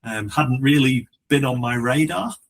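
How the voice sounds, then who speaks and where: A New man, a political candidate, telling his story in a relaxed, chatty way with some false starts. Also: medium pitch, a little slow, gravelly. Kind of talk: casual interview answer.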